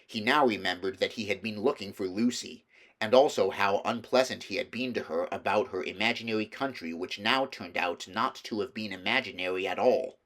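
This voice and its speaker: A man's voice: fairly low pitch, brisk, fairly bright tone. A man reading a children's novel aloud as scripted storybook narration. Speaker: audiobook reader.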